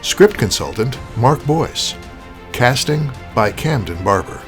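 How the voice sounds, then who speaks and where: A man speaks as host and narrator of an audio theater program. His scripted delivery is deliberate and rhetorical, with very varied pitch over faint music. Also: big pitch swings, a bit bright.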